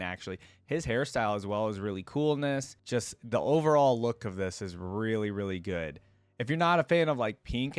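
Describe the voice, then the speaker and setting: A man's voice: medium pitch, dry recording. A man speaks as the host of a reaction video, with a mid-range voice and a conversational delivery. He offers his opinions casually and addresses his audience directly. The sound is dry, with hardly any room in it. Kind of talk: casual reaction commentary, partly reading a wiki aloud.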